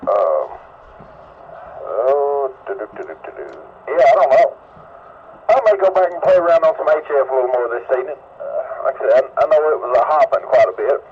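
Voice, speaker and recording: A man's voice: mid-range voice, very animated, a little fast. Folksy older Southern man on muffled radio. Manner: folksy, rambling. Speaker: amateur radio operator. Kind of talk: casual rambling ham radio chat. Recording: dull ham radio audio with faint noise.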